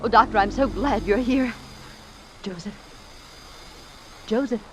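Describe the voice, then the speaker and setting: A woman's voice: a little high, a little slow, lightly acted. An actress playing an anxious, evasive young woman in an old-time radio drama, earnest and pleading. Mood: worried, pleading. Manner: earnest, urgent. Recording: noisy old radio recording.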